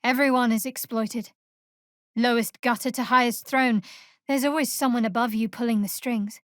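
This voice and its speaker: A woman playing a guarded, sardonic young cleric of a dark goddess in a fantasy video game, speaking in a dry, measured, wry way. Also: on the high side, fairly even intonation, dry recording, natural voice. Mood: wry, candid.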